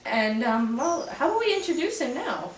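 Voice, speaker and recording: A young woman from Canada hosting an amateur mock interview, teasing her guest with deadpan jabs and lots of ums. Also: high voice, moderate pace. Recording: echoey room, distant mic, background noise.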